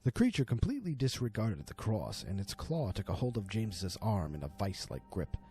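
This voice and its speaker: A man's voice: fairly low pitch, sing-song, a little fast, mellow. A man narrating a scripted horror story in a dramatic storytelling style. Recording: music under the voice. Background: background music.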